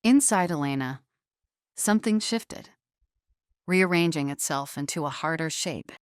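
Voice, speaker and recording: A woman narrator delivers a dramatic scripted audio series. Her voice sits fairly low, and the recording is close and dry, with no room sound. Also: animated, measured pace, clean tone, no room sound.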